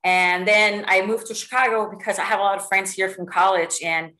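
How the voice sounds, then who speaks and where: A middle-aged woman from Puerto Rico giving a lively, motivational talk to her sales team over a video call, full of stories and fillers. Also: mid-range voice, fairly quick. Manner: lively, animated storytelling with many fillers. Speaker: sales executive speaking to a team. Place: home room with bookshelves. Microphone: video call webcam.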